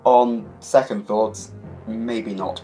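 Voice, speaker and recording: A man acting as a quirky, freshly regenerated alien time traveller in an audio drama, flippant, wry and quick-witted. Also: lowish voice, fairly even intonation, music in the background, rough recording.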